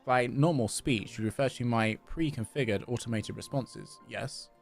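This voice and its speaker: Man reading as a creaky, formal clockwork robot. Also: mid-range voice, moderate pace, faint music behind, natural voice. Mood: courteous, formal. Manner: stiff, formal, deferential. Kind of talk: reading game dialogue aloud.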